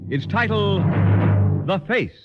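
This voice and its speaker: A man's voice: high-pitched, animated, slow pace. A man with an American accent announcing a mid-century radio program in grand scripted style. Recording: dull old radio recording. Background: very loud orchestral music with timpani.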